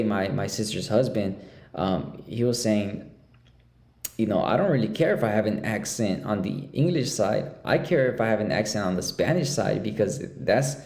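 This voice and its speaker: Gravelly low-voiced man in an echoey room. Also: a little mellow. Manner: halting, many restarts and fillers. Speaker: podcast host. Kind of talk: casual conversational interview.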